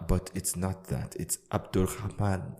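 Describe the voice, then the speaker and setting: A man's voice: deep voice, measured pace. A man from Jamaica, an English teacher hosting a podcast, chatting playfully with a student and then reading his show intro. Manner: lively, playful, switches between English and German.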